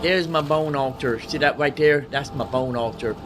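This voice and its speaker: A man's voice: on the high side, normal speed, over music. A man giving casual gameplay commentary, muttering asides and questions to himself. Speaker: gaming video host.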